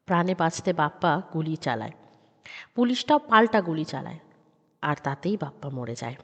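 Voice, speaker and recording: Female horror narrator, suspenseful and measured, dull recording with heavy reverb. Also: low-pitched, very animated, a bit bright. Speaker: audio story narrator. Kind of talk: dramatic scripted horror narration. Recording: dull sound with long reverb.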